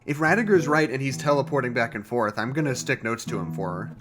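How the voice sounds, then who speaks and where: Soft guitar music sits behind a mid-pitched man an actor playing an earnest, lovelorn scientist in an audio drama. He rambles and confides haltingly, resentful and searching for words. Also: close to an everyday voice. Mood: wistful, fond. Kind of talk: audio drama monologue, naturalistic.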